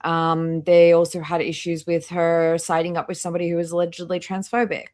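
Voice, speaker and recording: Low-pitched woman, dryly disapproving commentator. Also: completely flat delivery, brisk, a little mellow. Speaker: streamer hosting a commentary live stream. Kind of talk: casual commentary, reading out chat messages.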